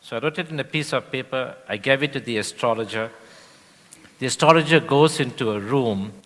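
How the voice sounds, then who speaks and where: A man from Indonesia, a guest speaker telling his life story on stage in a relaxed way with frequent fillers. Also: medium pitch, fairly quick. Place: large event hall on stage. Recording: long hall reverb.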